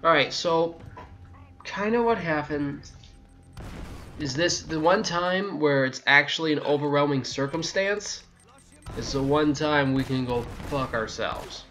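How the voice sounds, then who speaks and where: A man's voice: fairly high pitch, a little slow, pushed and bright. A man giving a casual, sweary, exasperated video game walkthrough commentary.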